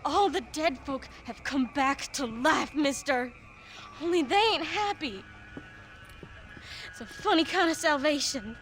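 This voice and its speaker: A morbid young girl in a Western game. She has a high, bright drawl and speaks bluntly, with faint noise behind her. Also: lively intonation, unhurried, pauses often, rough recording. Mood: matter-of-fact. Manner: drawling, matter-of-fact about horrors. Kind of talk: video game cutscene acting.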